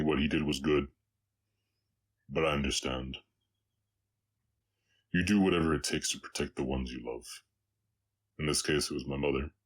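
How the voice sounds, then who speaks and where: Man voicing a very deep, gentle orc cowboy. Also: breathy edge, heavily acted. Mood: tender, hesitant. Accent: slight Southern US. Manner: halting, reflective, soft-hearted, pausing often. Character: gentle, weary orc cowboy, reformed bounty hunter. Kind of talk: intimate roleplay audio drama, spoken to a listener.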